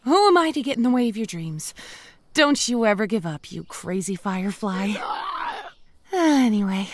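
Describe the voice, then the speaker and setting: An actress playing a cheerful, self-aware young zombie, chatting wryly and playfully to the listener in a comedic audio drama monologue. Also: female voice, mid-range voice, very animated, normal speed, no echo. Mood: wry, upbeat. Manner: wry, playful, conversational asides to the listener.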